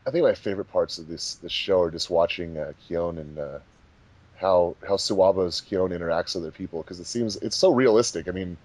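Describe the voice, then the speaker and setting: A man's voice: low voice, fairly quick, a little mellow. A man co-hosting a casual commentary track as the director, chatting about the recording process. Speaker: fan dub director, commentary co-host.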